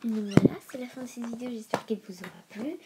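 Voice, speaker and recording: A woman's voice: fairly high pitch, fast, heavy background noise. A woman with a stuffy nose from a cold hosting a casual educational video, reading aloud and breaking into informal asides as she stumbles over long words. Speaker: video host.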